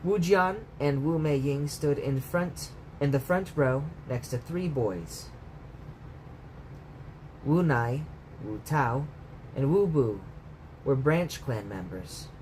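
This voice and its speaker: A man's voice: medium pitch, expressive, slow pace, long pauses, a little mellow, poor audio. A man reading a bedtime story aloud as a storyteller, in scripted narration. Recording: clear background noise.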